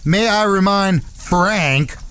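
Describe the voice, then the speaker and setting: An actor playing a hot-tempered, trigger-happy outlaw in a Western audio drama, with an American Southern accent and a folksy, grumbling manner. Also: male voice, high voice, slow, a bit bright, clean tone. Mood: aggrieved.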